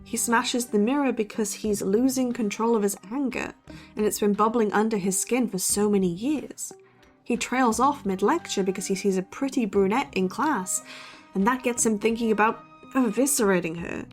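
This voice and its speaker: A woman's voice: on the high side, normal speed, soft background music, lightly acted. A woman playing a sceptical, dry-witted young student podcaster in an audio drama. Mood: matter-of-fact. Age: young adult. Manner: sardonic, dry. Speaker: podcast co-host character. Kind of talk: conversational audio drama acting.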